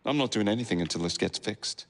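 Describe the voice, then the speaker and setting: An actor playing a cocky, wisecracking young Victorian gang leader. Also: male voice, lowish voice, animated, fast talker, a little hiss or hum. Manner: brash, wisecracking.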